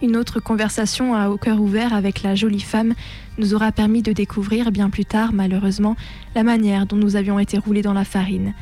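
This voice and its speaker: A young woman reading a scripted personal testimony in a measured, composed, literary way. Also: medium pitch, flat delivery, mellow, soft background music. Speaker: narrator of a personal testimony on a radio show. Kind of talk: scripted first-person narration, literary testimony read aloud.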